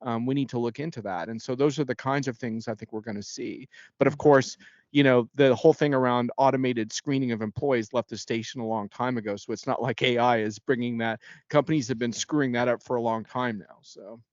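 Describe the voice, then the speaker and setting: A man gives conversational expert commentary in a medium-pitched voice. He explains things informally, like a guest analyst, and often checks that listeners follow. The sound is dry and somewhat muffled, with a narrow band. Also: fairly even intonation, fairly quick, hardly any pauses, a little mellow, dry recording.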